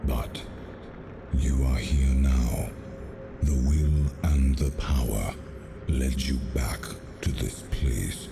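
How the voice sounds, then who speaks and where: Extremely deep, gravelly ancient sea lord. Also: male voice, exaggerated voice. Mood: solemn welcome. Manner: grave, portentous, deliberate. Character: ancient Lord of the Seas, grave, wise male being. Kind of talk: dramatic video game acting. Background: loud music.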